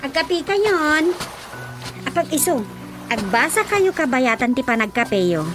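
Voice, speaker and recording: A high, lively young-sounding daughter in a Filipino radio comedy drama. She is cheerful and attentive, fussing affectionately in a Filipino accent, with clear music behind her. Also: a little slow. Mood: cheerful, caring. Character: Rosa, cheerful, dutiful grown daughter fussing over her father.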